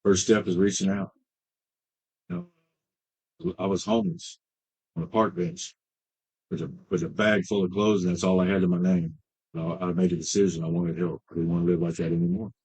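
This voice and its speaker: A man in his fifties telling his recovery story as a treatment client, halting, with many fillers and restarts. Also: sits low, creaky, a little mellow, husky, poor audio. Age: 50s. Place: treatment center.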